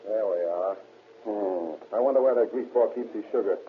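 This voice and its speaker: An actor playing a cocky, greedy safecracker in an old-time radio crime drama, bossy and slangy. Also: male voice, on the low side, normal speed, lightly acted. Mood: bossy, gloating. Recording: tinny, noisy old radio recording.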